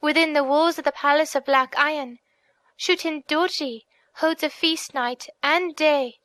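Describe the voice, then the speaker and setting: A storybook princess held captive, acted by a woman, with a very high, youthful voice. She speaks in formal, earnest phrases, sorrowful and pleading. The sound is dry and close. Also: fairly even intonation, measured pace, fairly bright tone, no room sound. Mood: sorrowful, grave. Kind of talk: audiobook character voice.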